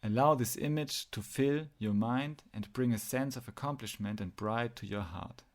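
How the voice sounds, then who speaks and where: A man with a mid-pitched voice and noticeable vocal fry leads a guided meditation. He gives instructions in measured phrases and leaves pauses between them.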